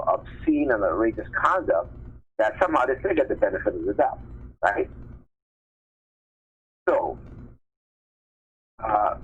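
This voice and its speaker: A man's voice: medium pitch, phone-quality sound, slight background noise. A man giving informal, emphatic commentary on news articles as a live stream host, often checking for agreement with short tag questions.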